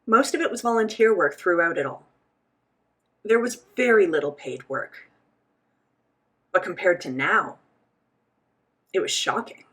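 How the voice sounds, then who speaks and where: Husky-voiced woman interpreting an interview. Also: on the low side, bright, forceful tone. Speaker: voice-over interpreter for a signed interview. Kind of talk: voicing sign language interpretation for both host and guest.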